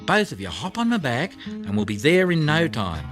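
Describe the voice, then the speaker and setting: Kind, confident storybook wallaby lady voiced by a man, lifted pitch, music underneath. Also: wildly swooping pitch, normal speed. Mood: encouraging. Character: female wallaby, helpful, gentle, confident friend. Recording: music behind the voice.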